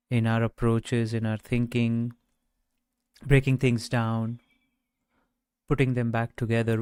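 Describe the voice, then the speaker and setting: A man guiding a meditation in a gentle, measured way, speaking off the cuff with long pauses. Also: medium pitch, smooth, no echo. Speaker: meditation guide. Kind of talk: guided meditation, unscripted talk.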